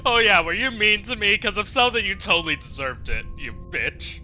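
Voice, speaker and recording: A man's voice: high voice, sing-song, normal speed, dull audio, over music. A man giving casual, sweary, sarcastic gaming commentary, talking back to the game as he streams. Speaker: let's play streamer.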